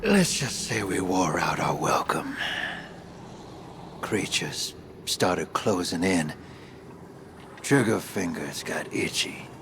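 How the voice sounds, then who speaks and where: An actor playing a world-weary roguish survivor in a video game, with a gravelly drawl, speaking in wry, clipped phrases. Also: male voice, low-pitched, background noise, full character voice. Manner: wry, understated, clipped storytelling.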